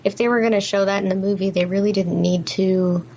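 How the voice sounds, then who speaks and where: Faint background noise sits under a woman's medium-pitched voice. She talks as a podcast co-host in a casual, conversational style, offering opinions as she goes. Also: steady tone, a little fast, mellow. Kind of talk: casual film discussion.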